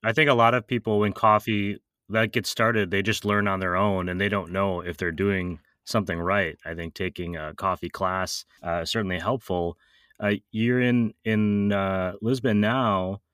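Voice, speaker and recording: A man's voice: lowish voice, fairly even intonation, moderate pace, a bit bright, no echo, clean studio sound. A man hosting an interview, asking questions casually with hesitations and restarts. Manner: hesitant, with filler ums and restarts. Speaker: interview host.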